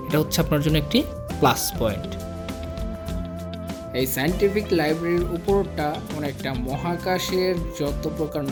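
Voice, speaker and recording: A man with a Bangladeshi accent narrating a guided tour as a travel vlog host, explaining things directly to the viewers. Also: fairly high pitch. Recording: music laid behind the voice.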